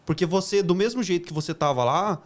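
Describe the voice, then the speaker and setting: A man's voice: high-pitched, fast. A man hosting a podcast, chatting casually with lots of slang and jokes. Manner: slangy, jokey, says "cara" a lot. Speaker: podcast host. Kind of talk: casual chat.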